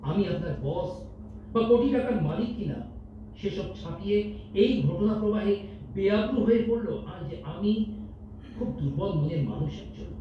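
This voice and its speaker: Man acting anguished high-pitched boss, echoey hall. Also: expressive, slight background noise. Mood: wavering, heartfelt. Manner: emotional, earnest, dramatic pauses. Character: troubled, soft-hearted company managing director, a father torn by conscience. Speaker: amateur stage actor in an audio drama competition. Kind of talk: staged Bengali audio drama acting. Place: multipurpose hall stage. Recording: echoey hall, distant mic, dull sound.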